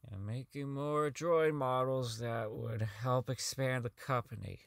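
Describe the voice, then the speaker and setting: A man's voice: medium pitch, a little slow, gravelly, no echo, studio quality. A man playing a socially inept, bitter company owner in an audio drama, rambling and stammering through his log as he slides toward madness. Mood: bitter, weary. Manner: stammering, rambling, self-loathing, foul-mouthed.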